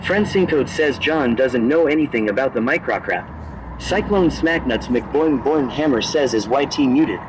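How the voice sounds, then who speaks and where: A male text-to-speech voice reading out viewer chat messages in a flat synthetic delivery. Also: mid-range voice, moderate pace, noisy background.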